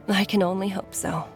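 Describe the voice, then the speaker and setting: An actress playing a worried, earnest woman doctor and mother in a dramatic video game scene. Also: mid-range voice. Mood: pleading, worried.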